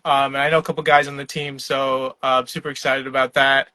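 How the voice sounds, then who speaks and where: Young male founder, casual and rambling, bright tense tone, dry call audio. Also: medium pitch, little pitch movement, quick pace, no echo. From New York. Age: young adult. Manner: laid-back, filler-heavy, laughs often. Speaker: startup founder, guest. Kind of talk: casual conversational interview. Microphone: online live video call.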